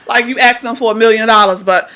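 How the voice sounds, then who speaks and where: A woman's voice: fairly high pitch, quick pace, crowd noise. A woman hosting a casual radio interview, giving blunt, streetwise, encouraging career advice. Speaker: radio show host.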